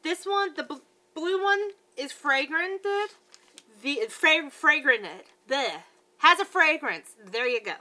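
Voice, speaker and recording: A woman's voice: sits high, lively intonation, takes it slowly, bright, forceful tone. A woman in her thirties chatting casually through a product review, tripping over words now and then, recorded at home in a living room on a close webcam. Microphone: webcam at close range.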